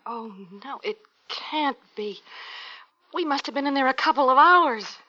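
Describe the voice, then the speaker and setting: A woman's voice: sits high, a little slow, sharp tone. An actress playing an anxious, bickering young mother and wife in a 1950s radio drama. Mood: weary, subdued. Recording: dull vintage radio recording.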